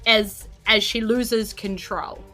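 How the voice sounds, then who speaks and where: A woman's voice: mid-range voice, steady tone, slow pace, sharp tone. A woman narrating a tabletop role-playing game as game master, improvising conversationally. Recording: music behind the voice. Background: background music.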